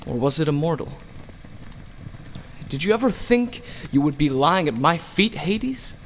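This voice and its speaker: A man's voice: on the high side, animated, unhurried, a little hiss or hum. A man playing a vengeful king of the gods in a radio play, taunting and theatrical. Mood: gloating, taunting. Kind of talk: audio drama acting.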